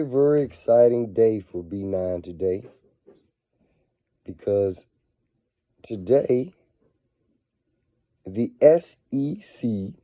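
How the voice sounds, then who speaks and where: A man with a scratchy, raspy voice from a cold talks casually to his viewers about money. He drags his words out slowly, dropping to low murmurs and then rising in excited bursts. The sound is dry and close but somewhat dull, like a lo-fi home recording. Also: dry recording. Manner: slow, drawn-out delivery that swings between low murmurs and excited bursts. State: hoarse from a cold. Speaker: finance vlogger. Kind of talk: casual video monologue.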